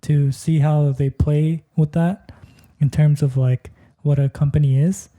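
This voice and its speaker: A man's voice: a little high, little pitch movement, measured pace, mellow. A man co-hosting a podcast, reviewing a movie in casual chat, rambling with lots of fillers and restarts. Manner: rambling, heavy use of "like" and restarts. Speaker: podcast co-host.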